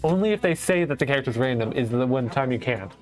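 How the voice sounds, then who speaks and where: A young man with an ordinary mid-pitched voice bantering through a game broadcast. His talk is loose, slangy and teasing. Faint music runs behind him. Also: expressive, quick pace. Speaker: esports caster. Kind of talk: casual game commentary chat.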